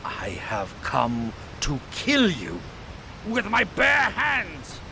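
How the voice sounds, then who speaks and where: An actor playing a vengeful, murderous criminal mastermind in a melodramatic radio drama, with a gravelly, theatrical delivery. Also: male voice, a little high, huge pitch swings, noisy background, exaggerated voice. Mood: deadly menace. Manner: slow, theatrical, erupting into shouts.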